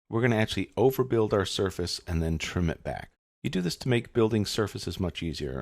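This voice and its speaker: A man's voice: sits low, no echo, clean studio sound. A man narrating an instructional screencast in an informal, step-by-step explanatory way. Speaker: software instructor.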